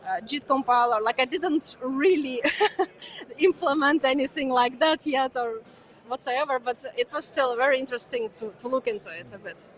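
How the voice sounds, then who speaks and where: A young woman with a high voice speaks in a relaxed, hesitant way, often pausing on fillers. Her first language is Ukrainian, and she talks as an interview guest at a busy conference. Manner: hesitant, many uh fillers, laughs lightly.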